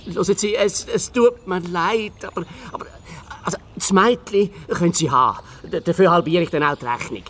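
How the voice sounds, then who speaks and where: An actor voicing a bossy, cowardly fox detective in a children's radio play, blustering and stammering with a Swiss German accent. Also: male voice, sits high, normal speed, fairly bright tone, a little hiss or hum. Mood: flustered, pleading.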